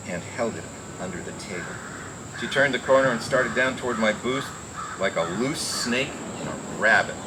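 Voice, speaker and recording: A man playing a world-weary, wisecracking private detective in radio noir style, reading a little haltingly. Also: mid-range voice, noisy background, close to an everyday voice. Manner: halting read with stumbles and wry asides. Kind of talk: 1940s-style radio noir acting and narration.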